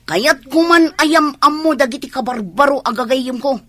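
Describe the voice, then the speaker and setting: An actress plays an outspoken woman in a comedy radio drama, pitched on the high side. She speaks emphatically, with a teasing, scheming edge. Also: normal speed, bright, forceful tone. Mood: loud, gleeful.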